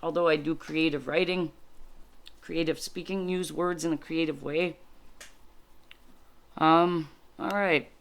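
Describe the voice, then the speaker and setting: A woman whose first language is French gives informal spiritual guidance as a card reader, reflective and halting, explaining things in short phrases. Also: low voice, steady tone. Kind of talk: informal spiritual guidance, reading cards aloud and commenting.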